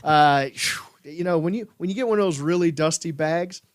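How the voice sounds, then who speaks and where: A man's voice: a little high, normal speed, clean tone, no room sound, clean studio sound. Jokey man hosting a sports podcast. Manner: jokey, playful, lots of filler "uh". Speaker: podcast host. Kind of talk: casual sports podcast chat.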